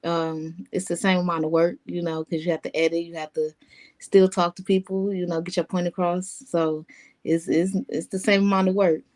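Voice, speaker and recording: A woman podcaster answering interview questions in a casual, soft-spoken way, pausing with um and you know. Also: lowish voice, level tone. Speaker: guest, podcaster.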